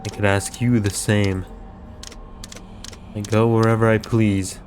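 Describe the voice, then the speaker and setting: Man reading as a bandaged bored wanderer, scornful, taunting, low voice, flat delivery, game dialogue. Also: takes it slowly, slight background noise. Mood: mocking. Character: bandage-wrapped bored mocking man by a tent.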